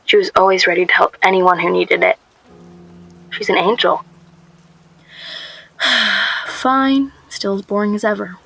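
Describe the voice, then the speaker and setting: Woman playing bright-voiced young narrator. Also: mid-range voice, steady tone, unhurried, vocal fry, long pauses, heavily acted, rough recording. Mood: hesitant, awkward. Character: anxious, guarded young female shop worker narrating. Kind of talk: first-person radio drama narration.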